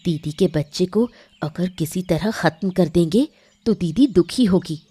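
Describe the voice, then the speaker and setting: A woman voicing an emotional, calculating village wife in a Hindi cartoon moral story, with earnest, deliberate dramatic delivery. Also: lowish voice, lively intonation, mellow, breathy edge, dry recording. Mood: scheming.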